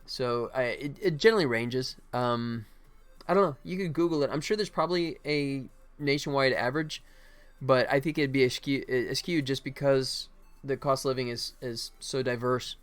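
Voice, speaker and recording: A man's voice: mid-range voice, normal speed, clean tone. An American man hosting a casual livestream as he works, rambling and thinking aloud. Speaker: livestream host, game developer. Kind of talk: casual livestream chat while working. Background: music.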